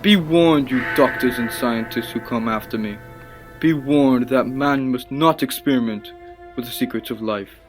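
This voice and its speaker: A man's voice: medium pitch, normal speed, faint music behind, close to an everyday voice. An actor playing an obsessive, passionate young male scientist in a radio play, with earnest, theatrical and formal delivery. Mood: grave, haunted. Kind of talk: dramatic radio play acting and narration.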